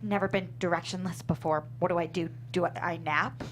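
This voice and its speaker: A woman's voice: fairly low pitch, steady tone, a little fast, natural voice. A guileless young female intern acted by a woman, aimless and frank. She is reading a comedy script in a room with a faint hum and noise. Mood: puzzled. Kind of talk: comedy script table read.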